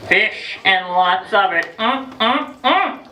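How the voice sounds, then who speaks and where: A woman voicing a playful, hungry female penguin who reads a picture book to children, teasing them with questions and dramatic pauses. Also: mid-range voice, lively intonation, pushed and bright. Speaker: storyteller for children. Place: echoey room with a group of children. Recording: roomy, distant, noisy live room recording.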